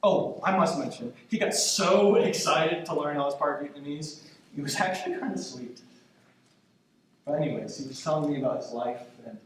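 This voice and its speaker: A man's voice: fairly high pitch, lightly acted. A man acting a tender grandson in his twenties in a stage monologue, confiding in his departed grandmother in a reflective, hesitant, conversational way. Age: 20s. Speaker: stage actor in a student play. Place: indoor stage, recorded from afar. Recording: echoey, distant stage recording with noise.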